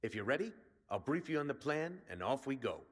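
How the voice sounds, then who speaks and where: A man's voice: medium pitch, very animated, a little fast, husky. An actor playing a brash male resistance commander in a video game, rallying and plain-spoken like a soldier. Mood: determined.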